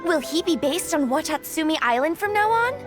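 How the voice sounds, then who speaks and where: A woman's voice: sits high, lively intonation, a little fast, over music. An actress voicing a curious, hesitant young female villager in an anime game.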